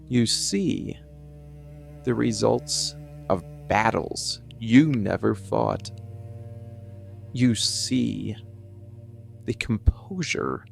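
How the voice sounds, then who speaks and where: A man playing a cold, embittered dark-fantasy villain in an ASMR monologue, speaking deliberately with heavy pauses. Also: lowish voice, vocal fry, full character voice. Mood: accusing, wounded.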